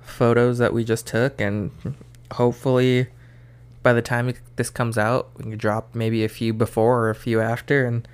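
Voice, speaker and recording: A man's voice: mid-range voice, little pitch movement, moderate pace, clean tone. A young man co-hosting a podcast, chatting in an easygoing, hesitant way with many fillers. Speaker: podcast co-host. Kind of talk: casual chat.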